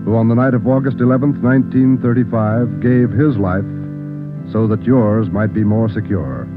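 A man's voice: on the low side, normal speed. A man announcing for a vintage radio drama in a solemn, formal way. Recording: tinny old radio recording with music.

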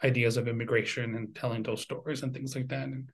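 A man's voice: mid-range voice, little pitch movement, quick pace, slightly rough. A man from Venezuela, around his forties, speaking reflectively as an interview guest and often repeating words as he thinks. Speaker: composer and university music teacher, guest.

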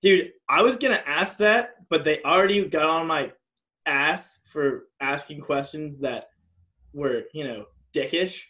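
Joking young man, slightly high voice. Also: measured pace, pushed and bright. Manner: blunt, joking, swears freely. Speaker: podcast co-host. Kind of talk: casual chat.

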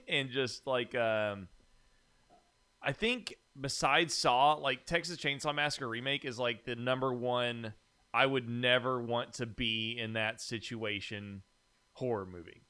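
A man hosting a casual podcast, talking in halting, conversational phrases. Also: mid-range voice, sharp tone, smooth. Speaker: podcast host.

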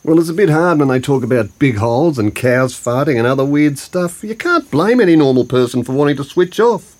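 A man's voice: fairly high pitch, expressive, a little fast, darkish tone. An actor playing an elderly Australian grandfather in an audio drama, colloquial, plain-spoken, grumbling and affectionate. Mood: glum. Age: older. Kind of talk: naturalistic audio drama dialogue.

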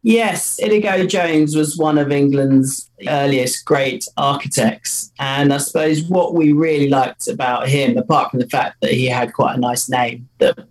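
A man's voice: mid-range voice, steady tone, a little slow, few pauses, low-quality recording. A man, co-founder of a company, answering interview questions in an enthusiastic, loose way with many fillers.

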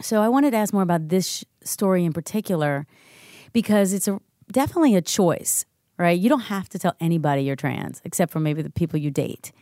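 Lower-pitched woman hosting, very dry sound. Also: expressive, brisk, warm tone, no echo. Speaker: podcast host and interviewer. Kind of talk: conversational interviewing.

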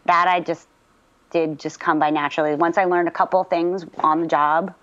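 A woman voice actor chatting in an interview, lively and talkative with many fillers. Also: fairly low pitch, moderate pace, lo-fi sound. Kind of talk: casual interview chat.

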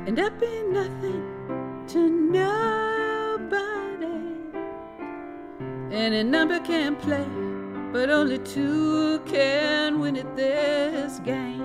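A woman sings in a high voice with slow, drawn-out phrasing. A piano plays loudly along with her. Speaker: singer-songwriter. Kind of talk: sung theatre songs. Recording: loud piano accompaniment. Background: piano music.

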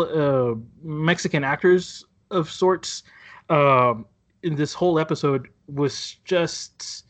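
A man chatting casually about a TV show, rambling and halting with many restarts and fillers. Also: on the high side. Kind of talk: casual chat reviewing a TV show.

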